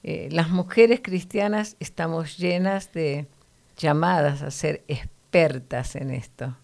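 Low, gravelly-voiced woman teaching the Bible. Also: steady tone, slow, darkish tone. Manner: earnest, teacherly, frequent "¿no?" tags.

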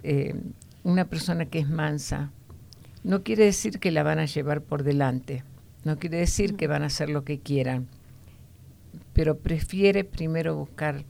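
Low, gravelly woman teaching the Bible. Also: darkish tone. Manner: explanatory, often ending points with a questioning "no?"; unhurried, with frequent hesitation fillers.

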